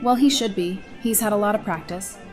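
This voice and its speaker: Woman acting a warm, teasing young mother. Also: mid-range voice, a little fast, soft background music, close to an everyday voice. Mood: fond teasing. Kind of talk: audio drama acting, conversational.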